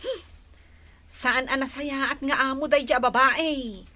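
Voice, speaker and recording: A woman acting in an Ilocano radio drama as an excitable, gossipy, touchy village woman with an Ilocano accent. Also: high voice, slow, exaggerated voice. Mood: resentful, scornful.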